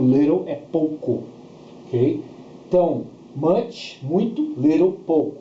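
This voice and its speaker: A man's voice: fairly high pitch, mellow. A man with a Brazilian accent teaching a bilingual grammar lesson, explaining didactically with repetition and short check questions, in a dull, roomy recording with faint noise. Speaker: English teacher.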